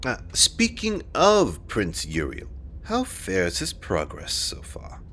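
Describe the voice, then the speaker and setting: Haughty low-voiced king acted by a man, swooping delivery. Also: wildly swooping pitch, a bit bright, slight background noise, exaggerated voice. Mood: imperious. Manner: pompous, condescending, deliberate. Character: haughty, disdainful king, imperious and old-fashioned. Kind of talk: fantasy audio drama acting.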